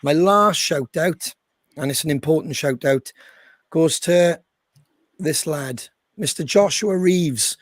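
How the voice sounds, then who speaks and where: A hoarse, croaky man hosting his band's podcast in a chatty way, with frequent ums. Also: a little high, slow pace, no room sound, studio quality. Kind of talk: casual chat.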